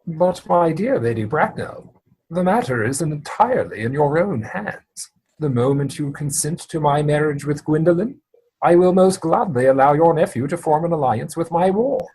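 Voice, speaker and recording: Woman playing husky, earnest young gentleman. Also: low voice, lively intonation, moderate pace, low-quality recording. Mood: pleading, firm. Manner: formal, insistent. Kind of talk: comic stage play reading.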